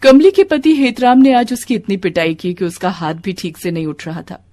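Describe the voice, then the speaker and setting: A woman's voice: mid-range voice, normal speed, warm tone. A woman narrating a scripted devotional story as a storyteller.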